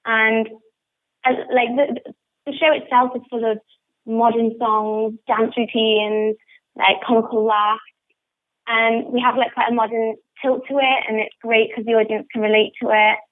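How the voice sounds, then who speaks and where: A woman's voice: fairly high pitch, level tone, unhurried. A young woman with a northern English accent, chatting enthusiastically in a radio interview over a phone line. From northern England. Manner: enthusiastic, upbeat. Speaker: interviewed pantomime actress. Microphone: telephone. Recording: muffled, tinny phone line.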